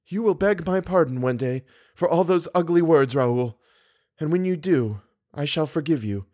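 A man voicing an anguished young woman, high-pitched and pleading. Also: animated, normal speed, a little mellow. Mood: solemn pleading. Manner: dramatic, solemn pleading. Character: anguished young opera singer woman, pleading, grave, secretive. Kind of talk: audiobook character voice.

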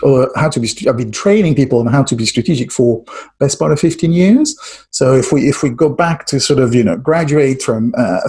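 A man's voice: medium pitch, a little mellow, slightly rough. A middle-aged man from France, a guest answering interview questions thoughtfully, with asides and restarts. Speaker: guest, strategy trainer and author.

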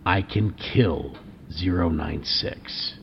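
An actor playing a weary, defiant research doctor in an audio drama, gravelly and deliberate, with resignation in his delivery. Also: low voice, dull audio, background noise. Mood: grim, resigned.

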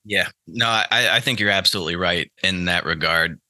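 American man, deep voice, sharp forceful edge, conversational interview answers, podcast guest. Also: moderate pace, dry recording. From United States. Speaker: podcast guest, trading educator.

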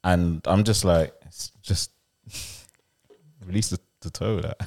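A young man with a very deep, bassy voice, chatting on a podcast. His speech is casual and halting, with plenty of fillers. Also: darkish tone. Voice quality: deep bass voice. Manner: hesitant, lots of fillers. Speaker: podcast co-host. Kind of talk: casual chat.

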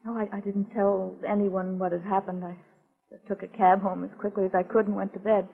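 A woman's voice: mid-range voice, little pitch movement, brisk, gravelly, natural voice. An actress playing a frightened, earnest woman client in a 1940s radio mystery, speaking carefully and dramatically. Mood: anxious recounting. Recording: tinny, phone-like 1940s radio recording.

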